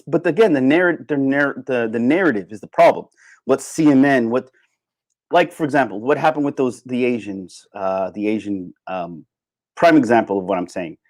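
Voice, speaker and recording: A man's voice: medium pitch, darkish tone. Man, livestream host, informal opinionated monologue, emphatic repetition, dry sound with little room echo. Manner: emphatic, repeats phrases for emphasis; informal, with occasional swearing.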